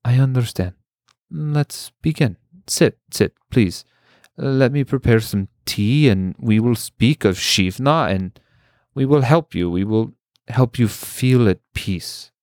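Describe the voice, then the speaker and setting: A man voicing a gentle male meditation teacher who speaks smoothly and calmly. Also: mid-range voice, no room sound, clean studio sound, pitched above the performer's own voice. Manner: smooth, calm, slow.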